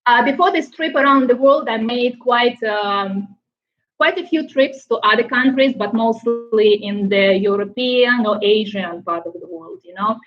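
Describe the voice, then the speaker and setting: A woman from Ukraine with a Ukrainian accent, chatting casually on a live stream, often pausing with fillers and restarting her sentences. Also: fairly high pitch, muffled recording. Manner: halting, many fillers and restarts, laughs often. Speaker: motorcycle traveler hosting a live stream.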